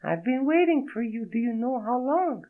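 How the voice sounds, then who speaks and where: A coy, tipsy young Frenchwoman with a French accent, slurring a little in a high, airy register far above the reader's own, as a male audiobook narrator puts her on. The sound is thin and tinny, like a phone line. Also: sing-song, normal speed, heavily acted. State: drunk, slurring.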